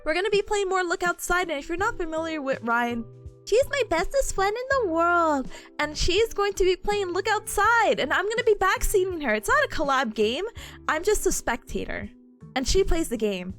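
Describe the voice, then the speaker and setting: Very high, bubbly young woman. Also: very animated, moderate pace, a bit bright, soft background music. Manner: bubbly, giggly, playful. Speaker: study streamer. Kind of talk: casual chat with viewers.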